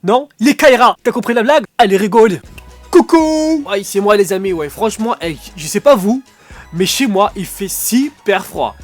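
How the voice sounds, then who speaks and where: A man's voice: high-pitched, animated, moderate pace, no echo, faint music behind. A man with a stuffy nose doing a rambling comic vlog from under a duvet at home, acting out little dialogues. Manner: joking, rambling, acts out dialogues. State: stuffy nose, sore throat. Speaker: vlogger.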